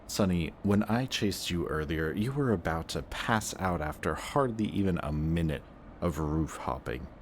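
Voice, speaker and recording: A man playing a sardonic, gruff but protective antihero villain in an intense, emotional audio roleplay. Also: low-pitched, expressive, normal speed, a little hiss or hum. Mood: upset, worried.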